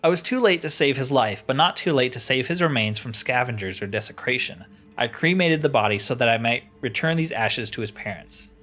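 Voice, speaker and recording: A man's voice: medium pitch, animated, a little fast, fairly bright tone, faint music behind, lightly acted. A man voicing an earnest, solemn knight from a fantasy game, speaking in formal, declamatory lines. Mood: solemn, regretful. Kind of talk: reading fantasy game dialogue.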